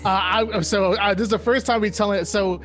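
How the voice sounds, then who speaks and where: A man's voice: high-pitched, fairly even intonation, a little fast, faint music behind. A thirty-year-old man with a New Jersey accent, chatting informally and playfully as an interview guest. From New Jersey, United States. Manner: playful, informal, repeats phrases. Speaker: interview guest, esports caster and content creator. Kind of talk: casual interview chat.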